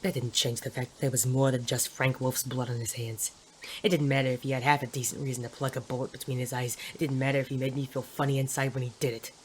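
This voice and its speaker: Brooding noir detective, flat and terse, acted. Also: medium pitch, fast talker, a little hiss or hum, lightly acted, rough recording. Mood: brooding, weary. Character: world-weary hardboiled male detective, conflicted, gruff, smitten. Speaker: narrator and lead actor. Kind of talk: noir audio drama acting with first-person narration.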